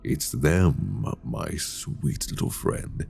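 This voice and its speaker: A man's voice: low-pitched, expressive, creaky, exaggerated voice. A man playing an imprisoned mad dragon king, seductive, obsessive and menacing, in an intimate, teasing, theatrical ASMR roleplay delivered close to the mic. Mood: tender, possessive. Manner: theatrical, teasing, drawn-out delivery with soft asides. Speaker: audio roleplay voice actor. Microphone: close binaural microphone.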